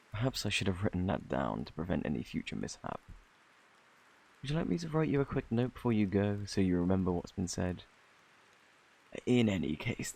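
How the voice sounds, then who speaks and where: Low, gravelly British fortune teller played by a man. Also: a little mellow, full character voice. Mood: grave, courtly. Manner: courtly, old-fashioned, teasing phrasing. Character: flirty, peculiar fortune teller man at a fantasy inn. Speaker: ASMR roleplay performer. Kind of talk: ASMR character roleplay.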